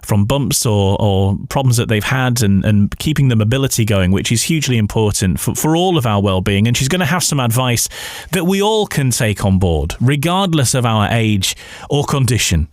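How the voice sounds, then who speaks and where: A man's voice: mid-range voice, animated, moderate pace, no room sound. Male radio interviewer, close dry sound.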